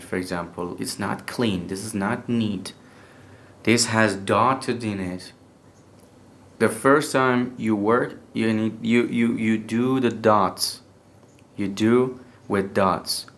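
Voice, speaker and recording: A young man with an Iranian accent talks slowly through a personal story in English, his second language, stopping and restarting often. His voice sits fairly low, and a faint hum of ambient noise hangs behind him. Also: fairly bright tone. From Iran. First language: Persian. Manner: halting, hesitant English with many restarts and fillers. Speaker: podcast speaker.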